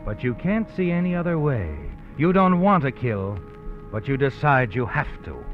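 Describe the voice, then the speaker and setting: Knowing, menacing narrator , a man, tinny old radio, loud music bed. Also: mid-range voice, sing-song, moderate pace, close to an everyday voice. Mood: ominous. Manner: taunting, knowing, second-person narration. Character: ominous all-knowing male narrator addressing the hero. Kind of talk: 1950s radio drama narration.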